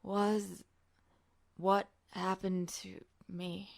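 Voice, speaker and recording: Lady doing a drugged army colonel guy from an audiobook, super slow n croaky, stammers, wont give up. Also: medium pitch, flat delivery, voice pushed down, exaggerated voice. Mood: groggy, urgent. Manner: halting, stammering, slurred with effort. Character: weak, drugged male army colonel in hospital, insistent.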